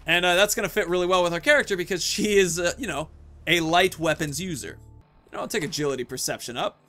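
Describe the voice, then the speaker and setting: A man's voice: sits high, quick pace, bright, forceful tone, soft background music. A man in his thirties giving casual commentary as the host of a gaming video.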